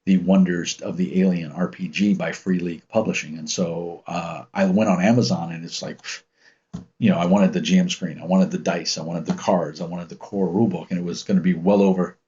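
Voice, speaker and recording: Deep commanding man, very low pitch, husky tone, rambling relaxed stream talk, muffled lo-fi audio. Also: fairly quick. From United States. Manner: rambling, relaxed, with many ums and restarts. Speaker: channel host reading live chat. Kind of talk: casual livestream chat and storytelling.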